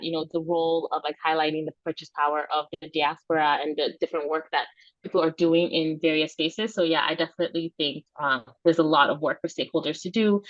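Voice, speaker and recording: A woman with a low voice hosts an online webinar in a casual, effusive way, peppering her talk with informal asides. The sound is dry and slightly dull. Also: fairly bright tone, dry recording. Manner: informal, effusive, frequent "like" and "you know". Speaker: webinar host, moderator. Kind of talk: casual spoken moderation.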